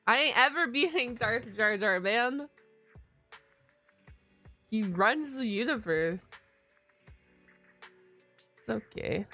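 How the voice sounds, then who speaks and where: Bright, creaky, animated woman streamer. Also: a little high, takes it slowly, pauses often. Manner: playful, slangy, swears. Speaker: gaming streamer. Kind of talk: casual live game reaction. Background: game music.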